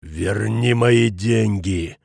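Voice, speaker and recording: A man voicing a furious, menacing brute in an audiobook, hissing and growling his drawn-out threats through clenched teeth. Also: on the low side, slightly rough, no echo, clean studio sound, voice pushed down, heavily acted.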